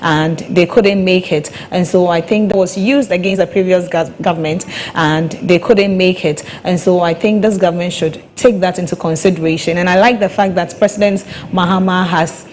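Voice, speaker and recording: A woman's voice: on the low side, steady tone, moderate pace, hardly any pauses, a little mellow, slight background noise. A woman co-hosting a live broadcast, talking in a loose conversational way with many fillers. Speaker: radio talk show host.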